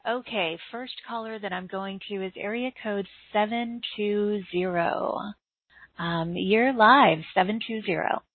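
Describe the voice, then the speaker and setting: A woman hosting a call-in show, speaking gently with frequent ums as she takes callers. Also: mid-range voice, measured pace, slightly rough, no echo. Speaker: show host taking callers. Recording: dry phone-line audio.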